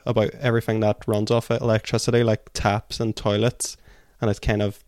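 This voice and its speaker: Low, even-voiced male book podcaster. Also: fairly quick, no echo, studio quality. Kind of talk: thoughtful book discussion.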